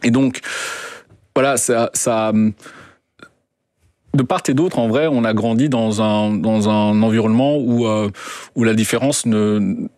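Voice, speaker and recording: A man's voice: lowish voice, unhurried, pauses often, darkish tone, no room sound, studio quality. A young man, a guest telling his story in an interview, hesitant with many fillers and restarts. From Belgium. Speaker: guest, documentary co-director. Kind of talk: radio interview, personal story.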